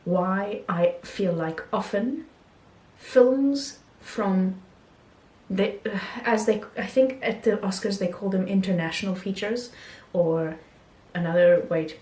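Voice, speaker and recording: A woman talking casually about films she has watched, pausing often with ums and restarting her sentences. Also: mid-range voice, a little mellow, reverberant, rough recording. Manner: hesitant, many ums and restarts. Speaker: film vlogger. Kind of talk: casual film review talk.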